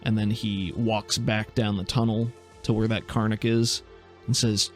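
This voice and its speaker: A man's voice: on the low side, little pitch movement, measured pace, faint music behind. A man narrating a tabletop game as game master and podcast host, in an informal, descriptive storytelling way.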